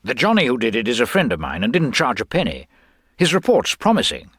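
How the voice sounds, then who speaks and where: A man's voice: medium pitch, wildly swooping pitch, a little fast, fairly bright tone, husky, no room sound, clean studio sound, pitched above the performer's own voice, exaggerated voice. An actor playing a stingy, self-important rich young businessman with clipped, pompous upper-class talk. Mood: pleased.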